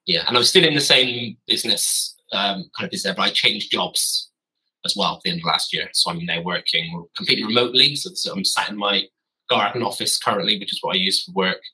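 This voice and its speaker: A man's voice: deep voice, brisk, bright, forceful tone, breathy edge, poor audio. A man in his thirties, a podcast guest telling stories casually and correcting himself as he goes, speaking from his garden office. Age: 30s. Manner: rambling, self-correcting, wry. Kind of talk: casual storytelling.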